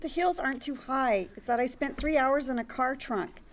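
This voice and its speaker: Actress as testy sitcom bride, prim, curt, high-pitched. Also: female voice, moderate pace, natural voice. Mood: irritated. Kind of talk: sitcom table read.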